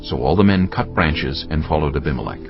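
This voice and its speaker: A man's voice: a bass voice, animated, brisk, vocal fry. A man narrating a dramatised audio Bible in a solemn storytelling manner. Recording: muffled, band-limited audio with music. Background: music bed.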